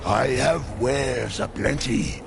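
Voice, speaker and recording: A man playing a wry, mysterious travelling merchant in a video game, measured, with a deep gravelly voice. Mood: wry, inviting. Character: wry, mysterious wandering merchant of many realms. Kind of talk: video game cutscene acting. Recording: loud music behind.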